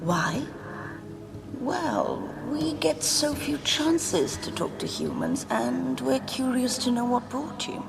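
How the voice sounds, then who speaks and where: An actress playing an aloof, enigmatic spirit in animal form, speaking in a measured, wry, formal way in a video game. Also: female voice, high-pitched, wildly swooping pitch, vocal fry, over music, heavily acted. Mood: coy.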